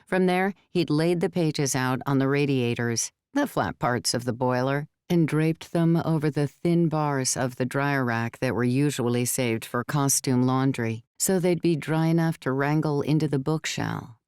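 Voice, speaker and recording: A woman's voice: rumbling low, measured pace, hardly any pauses, darkish tone, clean tone, dry recording, clean studio sound. A woman reading scripted third-person fiction narration as an audiobook narrator.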